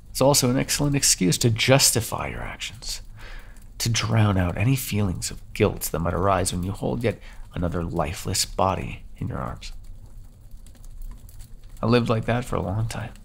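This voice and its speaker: A man playing a brooding, menacing vampire in an ASMR roleplay, speaking slowly and deliberately with theatrical intimacy. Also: lowish voice, full character voice. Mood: brooding, confessional. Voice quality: husky, gravelly. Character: brooding, menacing male vampire, remorseful yet predatory. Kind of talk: ASMR roleplay monologue, scripted dramatic narration.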